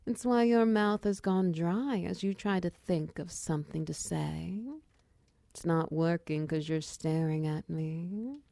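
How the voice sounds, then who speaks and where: Woman playing a low, creaky-voiced female minotaur wizard. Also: measured pace, warm tone, dry recording. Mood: seductive, teasing. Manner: intimate, teasing, commanding, with pet names. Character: hulking muscular female minotaur wizard, bossy, teasing potion-shop owner. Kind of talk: roleplay ASMR audio drama.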